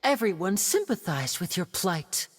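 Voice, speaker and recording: A woman's voice: lowish voice, very animated, measured pace, breathy edge, exaggerated voice. A woman voicing a young stage magician in a video game, theatrical, poised, mocking and coolly probing. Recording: long reverberant hall echo.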